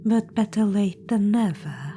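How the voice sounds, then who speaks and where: Scheming undead witch-queen, whispery and slow, low dark tone, patronizing and purring, voiced by a woman. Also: animated, faint music behind. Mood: whispered, scheming. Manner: purring, patronizing, calls listener darling. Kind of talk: ASMR audio roleplay.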